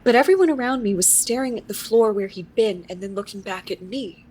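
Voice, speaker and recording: A woman's voice: medium pitch, brisk, a little mellow, husky, lightly acted. An actress playing a shaken, wondering woman who recounts her strange experience in a confiding, conversational monologue for a live audio drama. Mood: stunned. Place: live on stage in a theater.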